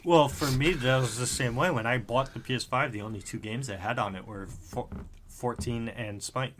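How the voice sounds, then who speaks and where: A man's voice: mid-range voice, moderate pace, a bit bright, slight background noise. A man in his thirties co-hosting a podcast, chatting casually at home, rambling and correcting himself as he goes, with an occasional swear. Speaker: podcast co-host. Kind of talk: casual chat. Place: home room.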